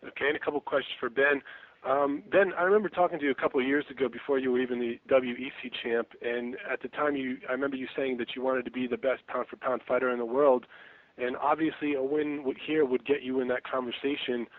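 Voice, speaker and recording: A man puts questions to athletes as a sports reporter. His voice is middling in pitch and conversational, with the narrow sound of a telephone. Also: steady tone, quick pace, no echo. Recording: narrow muffled phone conference line.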